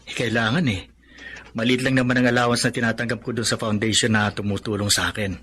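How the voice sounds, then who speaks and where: An earnest, hardworking young blind student in a Filipino radio drama, acted by a man. His voice sits at an ordinary male pitch, polite and sincere. There is clear noise over the recording. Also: little pitch movement, quick pace, fairly bright tone, close to an everyday voice. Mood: friendly, earnest.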